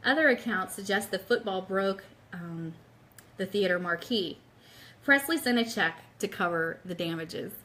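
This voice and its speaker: A woman's voice: mid-range voice, lively intonation, measured pace, sharp tone. A woman hosting a radio show livestream, chatting casually and enthusiastically with her audience and reading aloud. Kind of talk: casual livestream chat with book read aloud.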